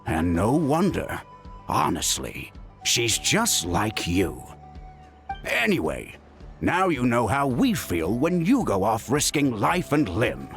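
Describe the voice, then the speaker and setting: A man's voice: mid-range voice, slow, creaky, over music, exaggerated voice. An actor playing a caring, middle-aged father and healer in a video game, chiding and chatting in an exasperated way. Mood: exasperated, scolding. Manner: animated, chiding, conversational.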